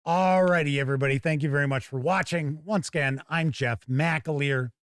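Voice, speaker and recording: An American man hosting a casual livestream, chatting to viewers in a folksy, rambling way with drawn-out phrases. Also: fairly high pitch, dry recording, studio quality. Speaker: host of a tabletop gaming livestream.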